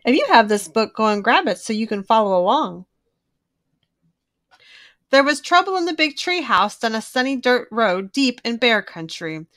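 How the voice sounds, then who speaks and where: Animated higher-voiced woman reading to children. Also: normal speed. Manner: animated, emphatic, draws out key words. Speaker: children's book reader. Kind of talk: expressive read-aloud storytelling for children.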